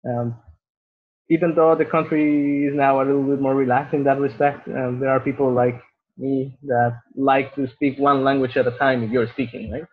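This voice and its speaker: A man's voice: mid-range voice, little pitch movement, moderate pace. Mexican man over a tinny call line. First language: Spanish. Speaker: webinar guest. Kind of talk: casual webinar talk. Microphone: video call. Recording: tinny phone-like call audio.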